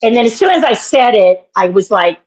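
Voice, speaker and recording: A woman in her sixties with a naturally raspy voice and an allergy-irritated throat she keeps clearing, telling a story in an interview in a halting, meandering way. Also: a little high, expressive, a little mellow, rough recording. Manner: meandering, halting, with many fillers and restarts. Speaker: guest, minister and author.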